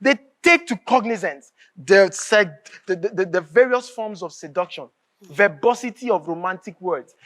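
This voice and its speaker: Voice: mid-range voice, lively intonation, moderate pace, a bit bright, natural voice. An actor with a Nigerian accent playing an anguished, bitter writer in a live stage drama, declaiming emphatically and repeating phrases. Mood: indignant. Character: anguished, bitter writer raging at a corrupt nation.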